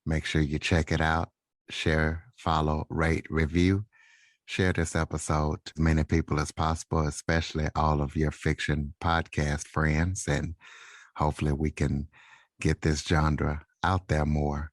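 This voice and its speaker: A man's voice: rumbling low, little pitch movement, unhurried, no room sound. A man hosting a podcast interview, asking questions and giving sign-off plugs.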